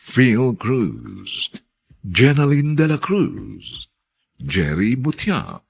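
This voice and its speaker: A male radio drama announcer with a low, gravelly voice. He reads in a theatrical, deliberate style, with hugely swooping intonation. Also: fairly bright tone.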